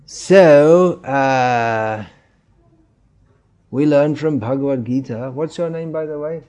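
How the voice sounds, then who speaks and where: Man, middle-aged, spiritual teacher: slow, unhurried delivery, gentle and chuckling. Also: medium pitch. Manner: gentle, chuckling, questions the listeners. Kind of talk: informal spiritual talk.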